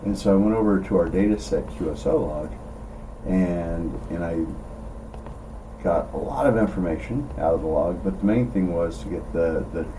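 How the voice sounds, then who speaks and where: A man thinking out loud in a casual, rambling demo, often halting mid-thought. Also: low-pitched. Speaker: amateur radio operator presenting own log data. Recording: distant mic, clear background noise.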